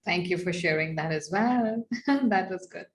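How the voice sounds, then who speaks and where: A woman hosting a podcast over a video call, asking gentle questions and giving brief encouraging responses. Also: lowish voice, lively intonation, unhurried. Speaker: podcast host. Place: small room indoors.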